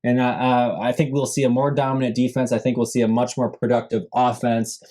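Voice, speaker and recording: A man's voice: mid-range voice, flat delivery, a little fast, dark tone. A man hosting a solo sports podcast, running through a team roster in a casual, upbeat way and saying he is losing his voice. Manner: upbeat, lists names with rising emphasis, frequent fillers. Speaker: podcast host.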